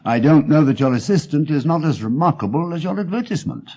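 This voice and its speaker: An actor reading as a cool, amused gentleman detective with an RP English accent and a dry, clipped manner. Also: on the high side, lively intonation, fast. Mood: urbane, inquisitive. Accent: English, Received Pronunciation.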